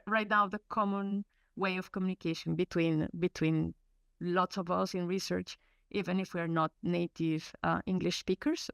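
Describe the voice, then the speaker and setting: English speaker from Colombia, female, webinar presenter; gravelly, halting delivery, dry close mic. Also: fairly low pitch, no echo. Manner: hesitant, many fillers and restarts. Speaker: research program presenter. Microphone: video call.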